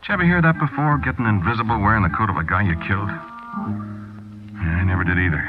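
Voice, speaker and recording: An actor playing a hard-boiled American small-time crook, narrating colloquially and confidingly in a 1940s radio drama. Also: male voice, on the low side, brisk, over music, natural voice. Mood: wry, amazed. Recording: dull 1940s radio broadcast recording.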